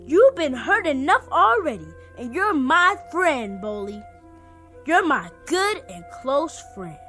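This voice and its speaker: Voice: high-pitched, sing-song, slow, sharp tone, exaggerated voice. A young performer playing an earnest, devoted little boy, eager and insistent, in a vintage television drama. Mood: earnest, pleading. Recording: archival TV soundtrack with music.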